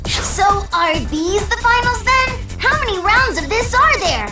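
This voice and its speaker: A woman's voice: very high voice, lively intonation, a little slow. A woman voicing a perky, chatty, childlike race announcer with eager naivety in a video game cutscene. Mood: puzzled, upbeat. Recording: echoey, distant voice over music.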